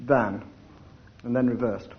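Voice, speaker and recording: A man's voice: mid-range voice, fairly even intonation, unhurried, a crowd in the background. A man bantering as a comedy panellist on an old radio show. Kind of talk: quick comic banter. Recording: echoey, muffled old radio, loud audience and noise. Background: studio audience.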